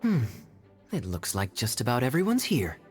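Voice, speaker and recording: A man's voice: mid-range voice, huge pitch swings, moderate pace, a little mellow. A man playing a suave, sly, teasing young knight in a video game, with a wry manner and faint music behind. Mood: wry, relaxed. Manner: smooth, teasing, wry drawl. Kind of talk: video game character acting.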